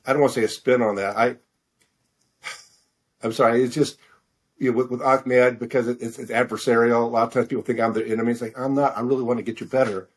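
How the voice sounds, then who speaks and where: An older American man from Missouri chatting casually as an interview guest, halting and often correcting himself as he rambles. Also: medium pitch, steady tone. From Missouri, United States. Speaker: guest, fiction author and physician.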